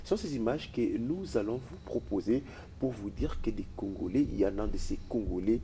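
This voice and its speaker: A man's voice: on the low side, animated, fairly quick, dark tone, slight background noise. A man hosting a television program, introducing a segment formally with a few fillers. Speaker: TV show host. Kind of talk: studio introduction.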